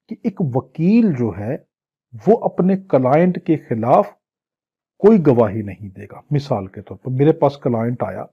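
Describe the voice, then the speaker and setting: A man's voice: mid-range voice, slightly rough. A Pakistani man teaching law in an exam-prep lecture, reading legal text and explaining it phrase by phrase in a didactic manner. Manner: didactic, translating phrase by phrase, with acted-out examples. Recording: dull, lo-fi lecture audio.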